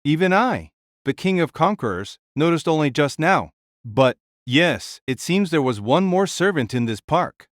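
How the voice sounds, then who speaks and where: Guy doing hearty ancient warrior king for audio drama, blunt and grand, higher-ish male voice, super dry. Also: very animated, unhurried, no room sound. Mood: eager, commanding. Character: boisterous, fearless ancient warrior-king spirit, a big man.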